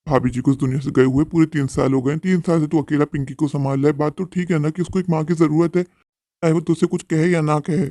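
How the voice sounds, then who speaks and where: A man's voice: fairly high pitch, steady tone, fairly quick, dark tone, no echo, studio quality, close to an everyday voice. A man playing a practical young friend in a Hindi cartoon, giving advice in a familiar, persuasive way. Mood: urging. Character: supportive, advising young male friend, practical and insistent.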